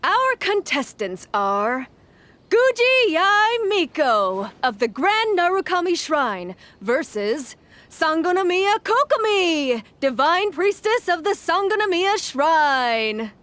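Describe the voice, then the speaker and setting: A woman's voice: extremely high pitch, sing-song, slow, a bit bright, exaggerated voice. A woman playing an upbeat, ceremonious tournament announcer in an anime game, drawing out her delivery.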